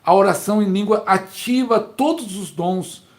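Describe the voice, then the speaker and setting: A man's voice: sits high, normal speed. A middle-aged Brazilian man preaching as a pastor, fervently telling a story and repeating phrases for emphasis, recorded at home. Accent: Brazilian Portuguese. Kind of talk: sermon-style teaching. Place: home, neighbor knocking heard.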